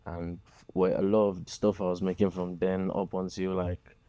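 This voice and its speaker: Deep-voiced soft-spoken Nigerian-born man. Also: flat delivery, normal speed, no room sound. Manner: soft-spoken, hesitant, many fillers. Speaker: guest musician. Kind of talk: casual interview talk.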